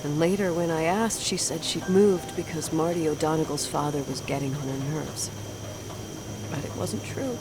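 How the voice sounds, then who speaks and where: An actress playing a distraught grown woman haunted by a repressed memory, speaking in a hushed, halting, anguished way in a dramatic video game. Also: low voice, steady tone, lightly acted. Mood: bitter, hushed. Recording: clearly noisy ambience behind the voice.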